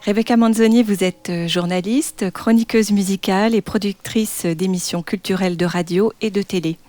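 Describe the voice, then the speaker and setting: A woman's voice: medium pitch, steady tone, moderate pace, soft background music. Woman host reading a podcast intro. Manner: reads prepared text, warm laughs between lines. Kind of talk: scripted podcast intro and interview.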